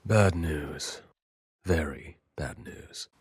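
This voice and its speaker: An actor playing a gruff, world-weary military man in an anime audio drama, dry, sardonic and calm but grim. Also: extremely low pitch, extremely slow, no room sound, heavily acted.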